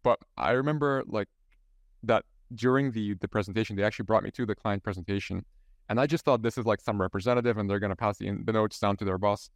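Young man, halting host. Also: fairly low pitch, dry recording, clean studio sound. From Egypt. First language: Arabic. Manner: halting, many restarts and "like" fillers. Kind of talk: casual interview chat.

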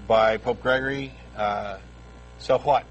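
A man's voice: mid-range voice, steady tone, slow pace, muffled recording, very noisy. A tired man co-hosting a call-in show, direct and wry when answering callers. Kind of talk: casual talk-show discussion. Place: studio.

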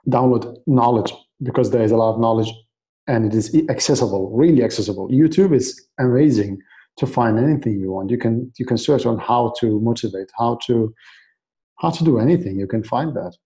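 A man's voice: medium pitch, brisk, mellow. A man in his late twenties, originally from Iraq, talking earnestly in an interview and often restarting his words. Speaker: guest. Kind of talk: casual interview.

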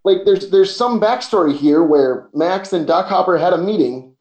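A man's voice: high voice, animated, moderate pace, darkish tone, echoey room. A man in his early thirties co-hosting a casual movie podcast. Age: early 30s. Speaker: podcast co-host.

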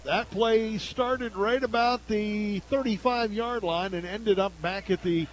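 A man with an American accent and a noticeably high-pitched voice calls a football game for radio. He works as the play-by-play announcer, following the action live from the game. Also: slow pace, slight background noise.

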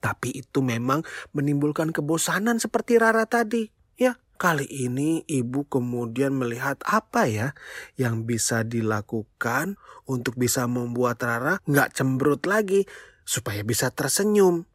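A storyteller telling a children's tale in an expressive, playful way, speaking straight to young listeners with exclamations and questions. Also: medium pitch, normal speed, no echo, studio quality. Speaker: children's storyteller, show host.

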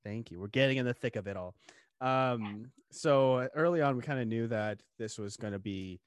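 A man's voice: mid-range voice, normal speed, smooth, no room sound. Young male podcast host, loose filler-heavy chat, dry mic. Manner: rambling, filler-heavy, laughs often. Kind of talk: casual chat.

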